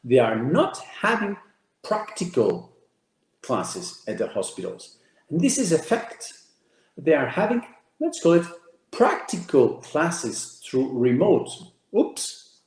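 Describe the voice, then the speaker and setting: Portuguese-speaking man giving a lively talk. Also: a little high, slow, echoey room, low-quality recording. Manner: emphatic, listing key ideas with repeated words. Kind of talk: presentation talk to an audience.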